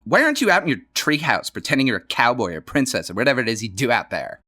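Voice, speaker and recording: A man's voice: mid-range voice, sing-song, fast talker, sharp tone, no room sound, studio quality. A man voicing a bossy, scoffing older sister in a read-aloud storybook. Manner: dismissive, know-it-all.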